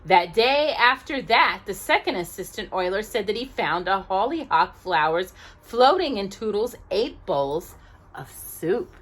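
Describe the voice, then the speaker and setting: A woman narrating a children's storybook expressively, with deliberate, drawn-out emphasis aimed at small children. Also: mid-range voice, big pitch swings, a bit bright.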